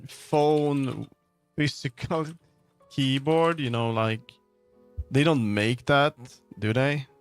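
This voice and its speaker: A man livestreaming, chatting casually with viewers in a rambling, halting way as he muses aloud. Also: mid-range voice, smooth. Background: background music.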